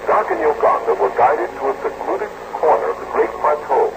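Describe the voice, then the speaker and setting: An actor playing the solemn narrator of an old-time radio adventure serial, with dramatic, declamatory delivery. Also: male voice, fairly high pitch, huge pitch swings, a little fast, creaky, heavily acted. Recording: very noisy old radio recording.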